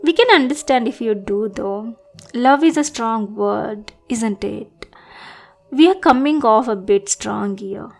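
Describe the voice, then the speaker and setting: A woman acts out a lovestruck young female bodyguard in an audio roleplay. Her voice sits fairly high and she speaks slowly, with a sweet, adorkable manner that turns possessive and bossy. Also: vocal fry. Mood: sheepish. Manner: sweet, adorkable, slow, gushing then backpedalling. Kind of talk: acted audio roleplay addressed to listener.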